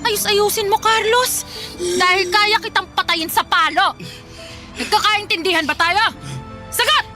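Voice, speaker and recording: Cruel, high-pitched Filipino mother. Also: unhurried. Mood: menacing, angry. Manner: harsh, threatening, taunting shouts. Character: cruel, hot-tempered, scolding mother who beats her son. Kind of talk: Filipino radio drama acting. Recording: loud dramatic music underneath.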